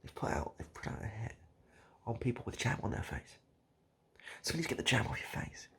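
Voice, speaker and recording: A man improvising a comic monologue straight to the listener, halting and repeating himself in a mock-earnest, absurd way. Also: medium pitch, big pitch swings, vocal fry, a bit bright, slight background noise. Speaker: online video host.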